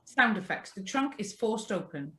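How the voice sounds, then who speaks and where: A woman reads sound and music cues from a script. Her voice is mid-pitched, bright and forceful. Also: sing-song, moderate pace. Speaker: reader of stage directions. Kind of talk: scripted narration. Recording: live video-call recording.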